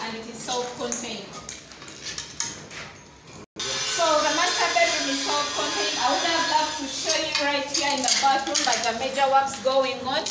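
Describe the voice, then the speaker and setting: High-voiced Ugandan woman giving an echoey tour. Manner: enthusiastic, showing rooms with wide gestures. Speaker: property sales guide. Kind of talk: walking property tour. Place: unfinished concrete apartment building, indoors and outdoors. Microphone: phone camera held by someone following her, at a distance. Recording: echoey bare rooms, distant, noisy.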